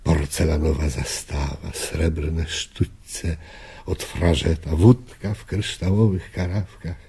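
An actor playing a dead old friend in a radio drama, a husky older man who coaxes and lures theatrically. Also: extremely low pitch, steady tone, takes it slowly, mellow, full character voice. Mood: coaxing, nostalgic. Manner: coaxing, seductive, theatrical. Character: dead old friend, a coaxing male ghost.

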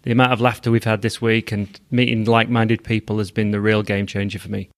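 Even-toned man. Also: on the low side, quick pace, dry recording. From Leeds, England.

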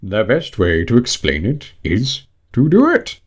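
A man voicing a pompous male dodo bird in a storybook, speaking in a grave, deliberate, ceremonious manner. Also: fairly low pitch, wildly swooping pitch, exaggerated voice. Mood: solemn. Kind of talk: animated storybook character reading.

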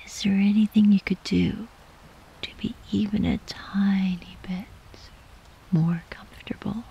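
A woman guiding a sleep meditation in a soft, breathy, whispery voice, gently and soothingly, with long unhurried pauses. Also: medium pitch, fairly even intonation, muffled recording, a little hiss or hum. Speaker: yoga nidra meditation guide.

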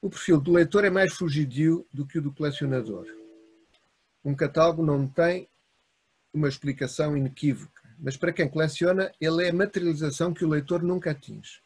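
A man with a European Portuguese accent giving a university lecture over a video call. He reads from notes in a measured way and lists items in short phrases. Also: fairly high pitch, darkish tone, husky. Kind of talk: academic lecture read from notes. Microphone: video call webcam.

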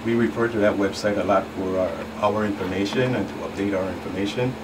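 Deeper middle aged guy laying out a process step by step, off mic, noisy room. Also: steady tone, fast talker, far from the mic. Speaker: investigating agent, guest expert. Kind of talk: explanatory radio interview answers. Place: small radio studio.

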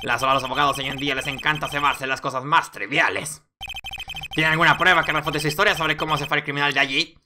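A woman's voice: low-pitched, steady tone, quick pace, noisy background, voice pushed down, natural voice. A woman voicing a stern, irritable elderly male judge in a video game courtroom. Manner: authoritative, scolding.

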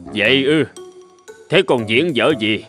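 A man's voice: mid-range voice, lively intonation, slow pace, a bit bright, soft background music. A man playing a burly, suspicious middle-aged father in a cartoon, questioning firmly. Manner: questioning, firm. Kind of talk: animated cartoon dubbing.

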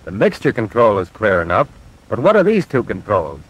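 A man's voice: fairly low pitch, huge pitch swings, fairly quick, husky, full character voice, low-quality recording. A man playing an eager young student pilot, speaking earnestly in 1940s military training film dialogue. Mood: earnest, curious. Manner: earnest, questioning. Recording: vintage 1940s film soundtrack.

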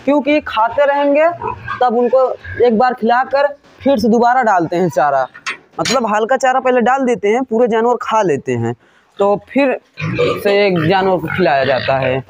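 A man's voice: very high-pitched, lively intonation, moderate pace, lo-fi sound, slight background noise. A pig farmer giving a casual, rambling walkthrough of his farm shed, talking to viewers as friends and recorded on a handheld phone. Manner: rambling, many fillers, addresses viewers as friends.

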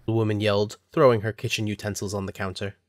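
A man's voice: fairly low pitch, level tone, fast talker, dry recording, studio quality. A man narrating a scripted story for an audio drama.

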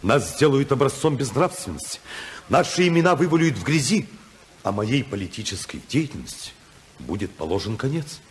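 Actor playing idealistic male congressman, mid-range pitch, widely swinging intonation, earnest, oratorical, torn by love, vintage radio drama. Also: big pitch swings, normal speed, slight background noise, close to an everyday voice. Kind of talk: 1950s Soviet radio drama acting.

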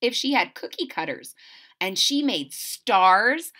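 A woman reading a picture book aloud expressively, pausing to explain and ask young listeners simple questions. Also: mid-range voice. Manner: animated, explanatory, addressing children with questions. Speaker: reader of a children's picture book.

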